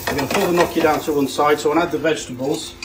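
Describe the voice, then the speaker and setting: Male chef, middle-aged, slightly high voice, casual practical cookery walkthrough, very noisy frying behind him. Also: fairly even intonation, very fast. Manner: plain-spoken step-by-step instruction while busy cooking. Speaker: chef demonstrating a recipe. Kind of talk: casual instructional cooking narration. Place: small home kitchen, indoors. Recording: loud sizzling pan and kitchen noise. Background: frying, sizzling, stirring.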